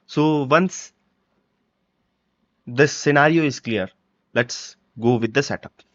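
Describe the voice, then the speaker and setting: Male software trainer, halting step-by-step delivery, medium pitch, dry but muffled recording. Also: no echo. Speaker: software tutorial presenter. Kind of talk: step-by-step screen-recording walkthrough. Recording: dull, lo-fi microphone sound.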